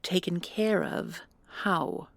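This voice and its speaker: A woman's voice: fairly low pitch, takes it slowly, gravelly, dry recording. A woman playing a proud, sardonic commandant and inventor in a sci-fi audio drama, with a dry, haughty manner. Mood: indignant. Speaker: voice actor and creator. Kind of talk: sci-fi audio roleplay acting.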